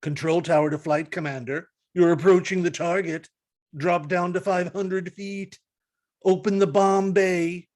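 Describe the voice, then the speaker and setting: A man acting as a petulant little tyrant of a postal general in an old radio comedy. The voice sits high, pompous and officious, barking orders. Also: flat delivery, a little slow, a little mellow. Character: bossy, self-important male postmaster general, petulant.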